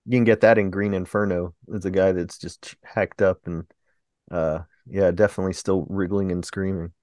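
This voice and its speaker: A man's voice: sits low, fairly even intonation, moderate pace, a little mellow, dry recording, clean studio sound. A man with a Southern American accent co-hosting a movie podcast, speaking hesitantly with many ums. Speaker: podcast co-host. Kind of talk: casual chat.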